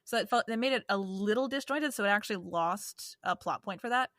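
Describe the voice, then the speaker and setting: A woman's voice: mid-range voice, brisk, a bit bright, no echo, studio quality. A woman co-hosting a podcast, talking casually and rambling with lots of "like". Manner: rambling, hedging with frequent "like". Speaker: podcast co-host. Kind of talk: casual chat.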